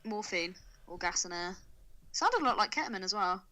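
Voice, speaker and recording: A woman's voice: medium pitch, lively intonation, brisk, bright, forceful tone. A woman co-hosting a podcast with casual, wry and irreverent banter. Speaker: podcast co-host.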